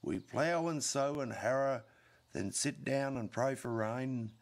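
A middle-aged man, reciting a comic bush ballad as an expressive storyteller. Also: medium pitch, slow pace. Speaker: poetry reader.

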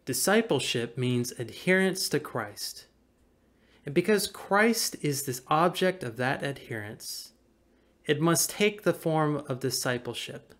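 An American man in his thirties, a pastor reading a devotional aloud in a measured, earnest, formal way. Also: fairly high pitch, lively intonation, fairly bright tone. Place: small indoor room at home or office. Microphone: webcam or laptop mic at desk distance.

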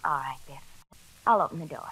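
An actress with an American accent playing a gentle, agreeable young housewife, soothing and polite in 1940s radio style. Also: female voice, low voice, brisk. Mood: accommodating. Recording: 1940s radio broadcast with crackly hiss.

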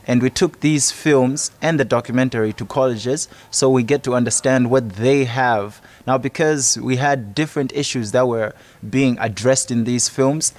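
A man's voice: mid-range voice, moderate pace, hardly any pauses, darkish tone, no echo. A man in his twenties with a Malawian accent, explaining a project in a documentary interview. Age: 20s. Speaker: project member. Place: outdoors in a college courtyard. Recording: music under the voice. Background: music.

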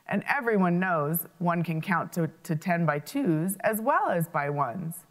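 A dignified old leopard king , as a woman reads him in a storybook. He speaks in measured, kindly pronouncements. The voice stays low-set and lively in pitch. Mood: wise.

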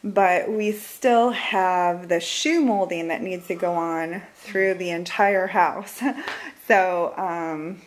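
A woman's voice: lowish voice, steady tone, slow. Chatty Michigan woman giving a home tour. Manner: chatty, laughs often, trails off. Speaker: vlogger. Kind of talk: casual home tour vlog. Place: house, indoors, moving through rooms.

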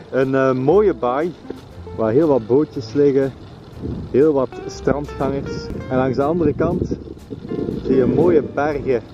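A man's voice: medium pitch, lo-fi sound. A man in his thirties with a Flemish accent, chatting casually as a travel vlogger. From Belgium. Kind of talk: casual vlog chat. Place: car, restaurant terrace and outdoors on holiday. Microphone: handheld camera at arm's length.

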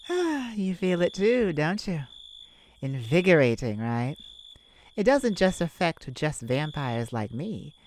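A woman voicing a playful, flirtatious immortal vampire in an intimate ASMR roleplay, teasing and dramatic with lingering pauses. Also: fairly low pitch, a little mellow, a little hiss or hum, heavily acted.

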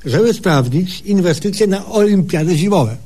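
A cocky, dim male patient in a comic radio sketch. His high voice leaps up and down through folksy, ungrammatical boasting. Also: animated, mellow, slightly rough, exaggerated voice. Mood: boastful. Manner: folksy, ungrammatical, drawn-out exclamations. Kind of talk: humorous radio sketch acting.

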